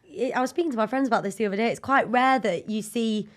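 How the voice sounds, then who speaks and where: A woman's voice: fairly high pitch, fast talker. A woman interviewing an author in a casual, agreeable and informal chat. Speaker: audiobook narrator interviewing an author.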